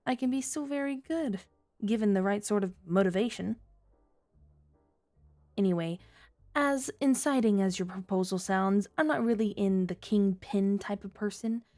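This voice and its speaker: A woman's voice: mid-range voice, normal speed, warm tone, faint music behind, natural voice. A woman playing a flirty, scheming underworld informant in an ASMR audio drama, with a teasing, coy, mock-sweet delivery. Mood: sly, teasing.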